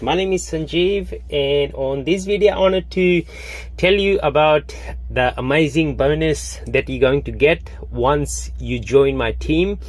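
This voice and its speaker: A man, a Hindi speaker, telling his story to camera in a halting way with many fillers. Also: a little high. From Fiji. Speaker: online business promoter. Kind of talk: casual monologue to camera.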